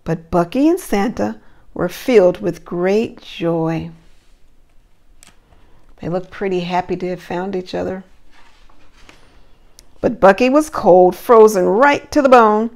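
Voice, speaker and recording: A woman preschool teacher doing an animated read-aloud for young children, with simple encouraging questions. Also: mid-range voice, takes it slowly, pauses often.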